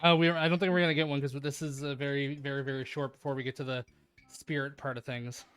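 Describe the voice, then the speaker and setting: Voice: mid-range voice, flat delivery, fast, a bit bright, clean tone, soft background music. A streamer reading a visual novel aloud with crude comedic ad-libs, often laughing at their own jokes. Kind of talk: live comedic read-along with ad-libs.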